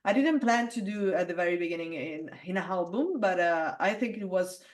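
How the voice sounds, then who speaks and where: A woman from Italy who sings metal, talking through a video call in a casual interview. Her speaking voice is low and alto. She often pauses on "uh" and restarts her sentences. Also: animated. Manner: hesitant, many fillers and restarts. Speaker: metal singer, interview guest.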